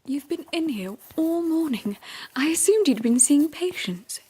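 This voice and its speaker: An actress playing a gentle, supportive Victorian doctor's wife in an audio drama, with polite composure. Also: female voice, high-pitched, expressive, measured pace. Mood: gently teasing.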